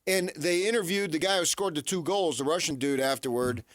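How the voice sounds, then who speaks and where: A man's voice: a little high, brisk, no echo, clean studio sound. A man hosting a sports radio show, debating off the cuff in an emphatic, insistent way and repeating his points for effect. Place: radio studio.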